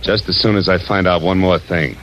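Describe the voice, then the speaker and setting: A man's voice: low voice, fairly even intonation, a little fast, fairly bright tone, slight background noise. A man playing a tough, wisecracking American private detective in a hardboiled 1940s radio drama, clipped and sardonic. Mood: probing. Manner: clipped, hard-boiled, sardonic. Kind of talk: 1940s radio acting, hardboiled first-person narration. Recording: dull vintage radio recording with music.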